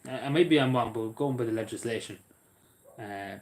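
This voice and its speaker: A man's voice: medium pitch, moderate pace. A man chatting casually on a livestream, rambling and hesitant with lots of fillers.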